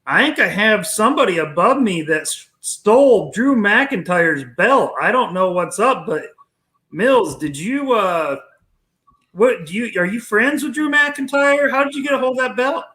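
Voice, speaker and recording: A man's voice: high voice, animated, measured pace, pushed and bright. A man on a live stream panel, friendly and joking. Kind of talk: casual streaming chat. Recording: echoey room.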